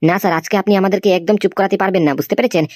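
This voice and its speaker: A woman's voice: mid-range voice, fairly even intonation, very fast, darkish tone, no echo, studio quality, lightly acted. A woman voicing an indignant, fiercely loyal cartoon employee, insistent and confrontational, full of tag questions. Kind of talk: amateur cartoon dialogue.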